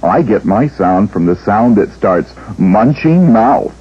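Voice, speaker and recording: A man voicing Mister M, a friendly letter character with a munching mouth, asking curious questions in a raspy voice. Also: lowish voice, expressive, takes it slowly, heavily acted. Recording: dull lo-fi TV audio.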